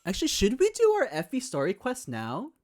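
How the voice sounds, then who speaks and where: A man chatting casually on a gaming livestream in an informal, excitable way, often repeating his phrases. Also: sits high, fairly quick. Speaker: game streamer.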